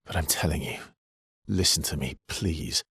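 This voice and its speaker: An actor playing a weary, guarded immortal expeditioner in a dramatic video game, with a husky, breathy voice and an understated, hesitant, earnest delivery. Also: male voice, moderate pace, pushed and bright, no room sound, studio quality, heavily acted. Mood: urgent, earnest. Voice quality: husky, breathy, gravelly low voice.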